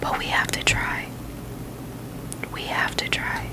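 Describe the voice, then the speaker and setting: Woman, whispered ASMR, breathy and unvoiced, informal rambling chat, gentle reassuring manner. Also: slow. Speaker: ASMR video host.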